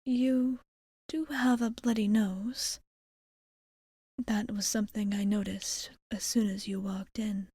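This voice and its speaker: A woman playing a caring, composed vampire bartender in an ASMR roleplay, speaking softly and formally, partly in whispers, close to the mic. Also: medium pitch, little pitch movement, slightly rough, no room sound. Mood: restrained, caring. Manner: gentle, formal, measured phrasing. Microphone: close studio microphone.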